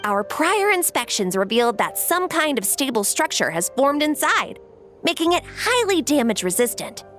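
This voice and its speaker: A woman's voice: high-pitched, very animated, moderate pace, a bit bright, soft background music. A woman voicing a careful engineer in a video game who explains things precisely. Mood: explaining.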